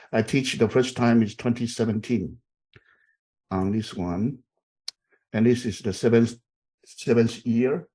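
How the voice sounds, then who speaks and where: Halting, mellow-voiced older instructor. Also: male voice, medium pitch. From Taiwan. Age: middle-aged. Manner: halting, many fillers and repeated okays. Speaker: university instructor. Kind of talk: online class, informal chatting. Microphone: video call.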